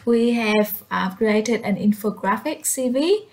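A woman whose first language is Vietnamese, narrating a step-by-step software tutorial as its presenter. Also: on the high side, lively intonation, slow, slight background noise.

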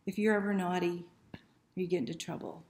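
A woman's voice: fairly low pitch, steady tone, fairly quick, darkish tone. A middle-aged woman reading a picture book to children as a library storyteller, often asking questions and inviting them to join in. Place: small room indoors. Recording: roomy, faint background noise.

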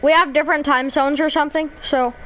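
Female gamer, high and lively, excitable, teasing, faint background hiss. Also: quick pace, rough recording. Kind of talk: casual gaming chat.

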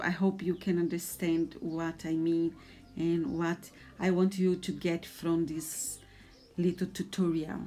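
A woman in her forties with a Brazilian Portuguese accent, talking slowly through a drawing tutorial. Her voice sits on the low side, and she speaks softly, a touch nervous and hesitant. Light music plays faintly behind her. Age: 40s. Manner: soft, hesitant, a little nervous. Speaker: art tutor.

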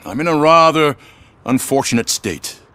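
An older man playing a frail, courtly, calculating corporate chief in a video game, measured and polite. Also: on the high side, animated, sharp tone, smooth. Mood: wistful, gracious. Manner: measured, polite, paternal with veiled menace. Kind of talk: cinematic video game acting.

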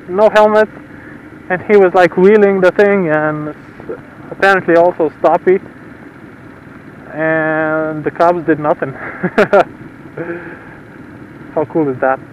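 A young man with a fairly high voice narrates his ride from a helmet mic, relaxed and amused, dropping swear words as he goes. Faint engine and road noise sit under his voice. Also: rough recording. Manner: laid-back, laughing, swears casually. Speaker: motovlogger. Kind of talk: casual helmet-cam commentary. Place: on a motorcycle outdoors on the road. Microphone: helmet-mounted mic.

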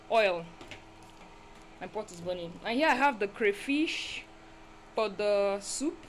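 A Nigerian woman with a mid-pitched voice talks casually to her viewers as a food vlogger. She is chatty, thinking aloud and exclaiming as she goes. Clear kitchen noise runs behind her on a handheld phone recording. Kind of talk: casual cooking vlog chat. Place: small home kitchen, indoors. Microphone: handheld phone behind the camera. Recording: noisy phone recording with kitchen cooking sounds. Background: clear cooking noise.

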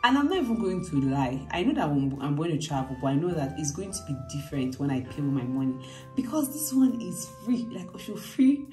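A woman's voice: low-pitched, big pitch swings, a little fast, dark tone, faint music behind. A young adult woman with a Nigerian accent, chatting casually and expressively to her travel vlog on a phone. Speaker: vlogger. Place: hotel rooms, indoors. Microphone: phone at arm's length.

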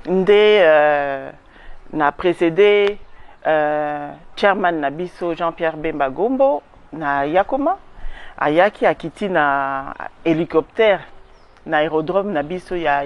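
A woman's voice: low-pitched, lively intonation, slow pace, dull audio. A woman in her forties with a Congolese accent, giving an emphatic outdoor interview on a lapel mic. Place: outdoors in a garden.

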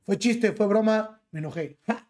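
A man's voice: high voice, normal speed, a little mellow. A young man with a Mexican accent, streaming from his bedroom through a gaming headset and ranting as he reacts to clips, piling slang onto every phrase. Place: small bedroom.

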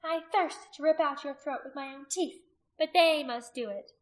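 A woman's voice: extremely high pitch, moderate pace, pushed and bright, roomy sound, pitched above the performer's own voice, heavily acted. A woman narrator playing a cruel, commanding pagan priestess in an audiobook story, accusing and imperious. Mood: gloating menace.

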